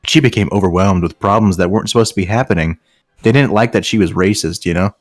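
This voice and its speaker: A man's voice: sits low, quick pace, no room sound, studio quality. A man doing a casual read-aloud for friends, breaking off into joking, sarcastic asides. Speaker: host reading fan fiction aloud with friends.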